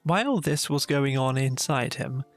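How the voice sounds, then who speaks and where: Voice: mid-range voice, lively intonation, a little slow, no room sound, faint music behind. A storyteller reading a scripted children's bedtime story as an audiobook narrator.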